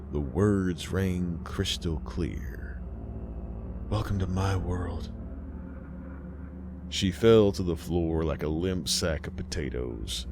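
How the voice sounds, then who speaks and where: Deep, slow-talking male narrator with a folksy fireside manner. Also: noisy background. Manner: folksy, unhurried, addresses the listener directly. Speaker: storyteller host. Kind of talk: horror story narration.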